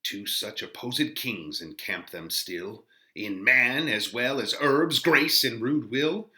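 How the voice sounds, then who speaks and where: A man as a slow, declamatory Shakespearean friar. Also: mid-range voice, animated, pushed and bright, pitched above the performer's own voice, full character voice. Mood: declamatory, solemn. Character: contemplative friar musing alone on plants, virtue and vice. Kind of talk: dramatic Shakespearean verse reading.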